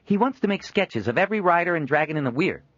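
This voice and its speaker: An eager young fellow, as a man puts him on, pitched up and forceful. The recording is dull and dry. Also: animated, fast talker, no echo.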